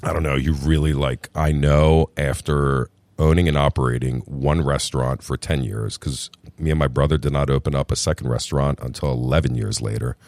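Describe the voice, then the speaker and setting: A middle-aged man from New Jersey, a restaurant founder telling his story in a relaxed, chatty interview and calling the host "brother". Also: extremely low pitch, brisk, few pauses, dry recording. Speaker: restaurant group founder, podcast guest.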